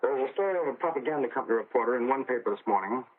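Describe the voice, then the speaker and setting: A man giving a scripted 1940s radio news report as a correspondent, measured and careful to make figures clear. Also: medium pitch, animated. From United States. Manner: measured, repeats figures for clarity. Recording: crackly, phone-like 1940 shortwave radio relay.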